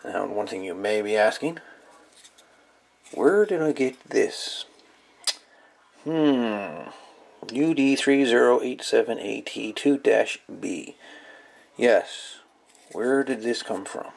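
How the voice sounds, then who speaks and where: A man giving a casual, folksy hands-on demonstration as a hobbyist, musing and pausing as he goes, recorded indoors at a workbench. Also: on the low side, animated, creaky, a little mellow. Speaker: hobbyist radio video maker. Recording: light background noise, clicks of handled parts.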